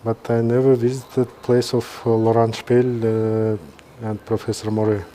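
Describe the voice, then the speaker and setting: Slow-talking man with a Kazakh accent. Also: medium pitch, flat delivery, mellow, a little hiss or hum. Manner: halting, pausing mid-sentence. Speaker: neurosurgeon presenting a live case. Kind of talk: informal live-stream commentary.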